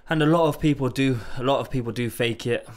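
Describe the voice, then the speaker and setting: A man from the UK hosting a casual interview podcast, affirming his guest with enthusiastic interjections and a relaxed, friendly way of talking. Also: mid-range voice, fast. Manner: affirming and enthusiastic, frequent interjections, says "bro". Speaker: podcast host.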